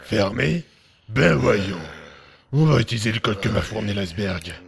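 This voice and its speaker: Gravelly tough guy, man acting, blunt, swears a lot, mutters to himself. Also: on the low side, expressive, moderate pace, heavily acted. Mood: muttering. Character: tough streetwise man, agent in trouble. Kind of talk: audio drama acting.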